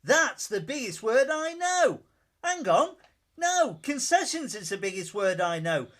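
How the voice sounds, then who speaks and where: A woman's voice: fairly high pitch, sing-song, a little slow, fairly bright tone. A woman playing a cheeky, simple-minded boy clown in a pantomime, eager and bouncy. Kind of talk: amateur pantomime acting.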